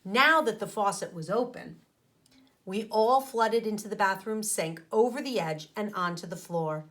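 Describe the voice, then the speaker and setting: Female schoolteacher, mid-pitched, expressive and emphatic, for children's read-aloud and explanation. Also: measured pace. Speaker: teacher reading aloud to pupils. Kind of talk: storybook narration and explaining to children.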